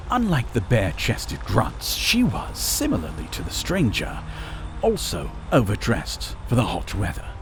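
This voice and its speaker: Male fantasy narrator, slow pace, lively intonation, music behind. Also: mid-range voice, creaky. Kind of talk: scripted fantasy story narration.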